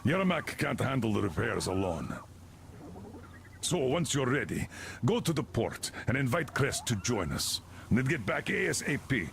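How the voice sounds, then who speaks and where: A stern older officer from a game. His gravelly voice moves widely in pitch as he gives authoritative orders. There is faint noise behind him. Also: big pitch swings, a bit bright. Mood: resolute. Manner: brisk, authoritative briefing. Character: stern older military commander, measured and authoritative. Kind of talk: video game voice acting.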